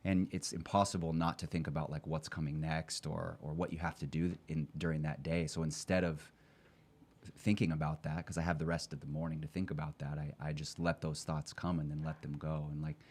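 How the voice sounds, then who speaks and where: A man's voice: extremely low pitch, level tone, a little fast, darkish tone. A man co-hosting a podcast, talking casually and conversationally. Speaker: podcast co-host, military veteran. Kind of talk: casual chat.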